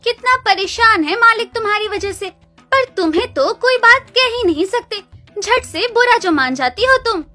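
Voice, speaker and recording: A woman's voice: very high-pitched, animated, normal speed, bright, forceful tone, full character voice. A woman voicing a spiteful younger sister cow in a cartoon story, mocking and scornful. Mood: taunting. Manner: taunting, scornful. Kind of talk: Hindi animated moral story dialogue. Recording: music behind the voice.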